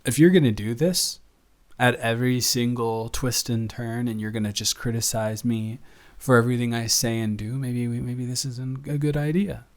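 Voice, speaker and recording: A man plays a young male roommate in an intimate audio roleplay. He is affectionate and teasing, easily flustered, and talks in a halting, natural way, often correcting himself. His pitch sits in an ordinary male range. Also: fairly even intonation, natural voice. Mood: earnest, exasperated. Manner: halting, conversational, self-correcting. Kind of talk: improvised intimate boyfriend-style audio roleplay.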